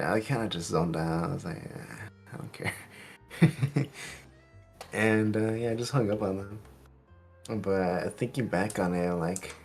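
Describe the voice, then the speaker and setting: A woman's voice: extremely low pitch, vocal fry. A woman chatting casually as a companion on a game livestream. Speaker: streamer's chat companion.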